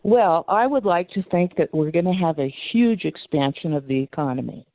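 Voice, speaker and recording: A woman's voice: lowish voice, expressive, normal speed, no room sound. Woman caller on a tinny phone line. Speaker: caller to a radio show. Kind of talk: casual phone-in chat. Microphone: telephone.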